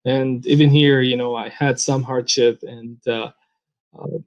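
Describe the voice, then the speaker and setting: A man's voice: medium pitch, steady tone, darkish tone, dull audio. Earnest Saudi man telling his story. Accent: Saudi Arabian. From Saudi Arabia. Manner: earnest, many fillers, slow and halting with sudden quick stretches. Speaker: interview guest, former doctor turned ministry worker. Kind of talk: personal testimony in an interview.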